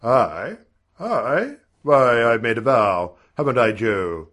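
A man playing an awkward, piqued gentleman of the house, vague and hesitant, in an audiobook. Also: medium pitch, big pitch swings, takes it slowly. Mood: evasive. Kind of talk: audiobook character dialogue.

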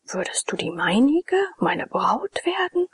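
A woman reading a scheming, imploring Egyptian man in a storybook, in a breathy half-whisper. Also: on the high side, big pitch swings, measured pace, dry recording, heavily acted. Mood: passionate. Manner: pleading, insistent.

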